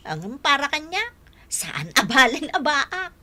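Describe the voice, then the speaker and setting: A woman's voice: sits high, sing-song, a little slow, pushed and bright, exaggerated voice. A woman acting a giddy, girlish young woman swooning over her crush in a radio drama. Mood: coy. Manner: gushing, girlish.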